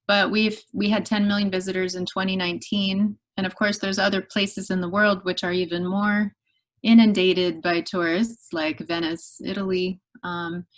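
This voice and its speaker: Middle-aged woman, academic lecturer on a video call; thoughtful, measured delivery with filler pauses. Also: mid-range voice. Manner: thoughtful, measured, with frequent ums. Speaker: guest lecturer.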